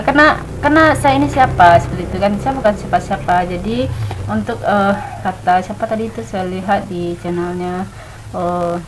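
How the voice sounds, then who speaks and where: A woman's voice: medium pitch, slight background noise, rough recording. A woman in her late twenties, a stay-at-home mother, talking casually to camera with many hesitant fillers and restarts. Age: 20s. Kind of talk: casual talk to camera, practicing storytelling.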